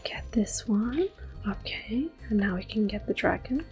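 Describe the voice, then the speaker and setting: A woman giving soft-spoken ASMR gameplay commentary as host, often slipping into a breathy whisper, in a gentle, unhurried way. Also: mid-range voice, lively intonation. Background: soft video game music.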